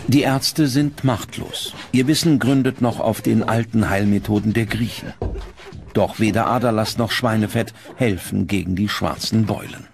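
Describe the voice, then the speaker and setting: A man narrating a documentary script in a grave, measured, dramatic way. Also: lowish voice, gravelly. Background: prominent background music.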